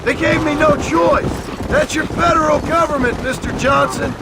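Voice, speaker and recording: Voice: high voice, fairly even intonation, normal speed, very noisy. An actor playing a tense character under pressure in dramatic game cutscene acting. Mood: bitter, pressured. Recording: very loud music over the voice.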